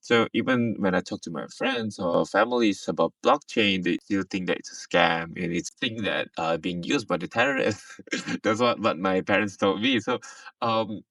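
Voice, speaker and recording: Very low-voiced casual man from Singapore. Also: moderate pace, fairly bright tone, dry recording. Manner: casual, easygoing, uses fillers. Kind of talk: casual panel chat. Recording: dry, distant online audio call.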